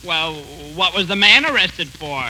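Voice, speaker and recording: A man's voice: sits high, very animated, a little slow. An actor playing a meek, plaintive crooner as an earnest straight man in 1940s radio comedy. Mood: doubtful. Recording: noisy 1940s radio broadcast.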